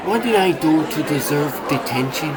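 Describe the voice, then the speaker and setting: A man's voice: on the high side, animated, normal speed, a loud crowd, very noisy, close to an everyday voice. A man playing a moody, defensive teenage boy hero in a comic dub, with an irritable, muttering manner. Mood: irritated.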